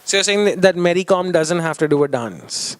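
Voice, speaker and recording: A man's voice: high voice, expressive, moderate pace, no echo. A man interviewing someone in a conversational style, phrasing his questions in a hesitant, roundabout way.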